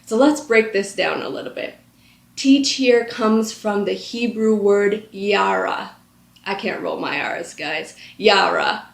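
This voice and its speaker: A woman's voice: mid-range voice, slow pace, gravelly. A woman in her thirties hosting a Bible study, reading a psalm aloud and then explaining it in an earnest, conversational way with frequent filler words. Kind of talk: scripture reading and devotional teaching. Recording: echoey room.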